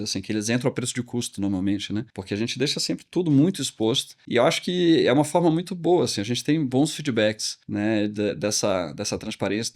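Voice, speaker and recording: A middle-aged man, a company director, explaining at length in a podcast interview, with a calm measured delivery. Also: mid-range voice. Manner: measured, explanatory, often tags phrases with "né".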